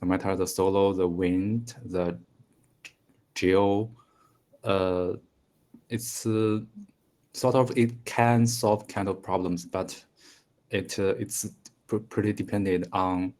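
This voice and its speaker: Student, Mandarin-native man in his 30s, hesitant non-native English, lowish voice. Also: flat delivery, takes it slowly, long pauses, darkish tone. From China. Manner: hesitant, many fillers and restarts. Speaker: engineering student. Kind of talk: casual conversation lesson.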